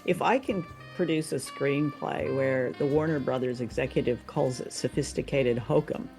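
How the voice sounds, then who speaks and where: Creaky-voiced woman co-hosting a film chat. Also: a bass voice, moderate pace, darkish tone, soft background music, low-quality recording. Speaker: podcast co-host. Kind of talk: casual discussion of a classic film.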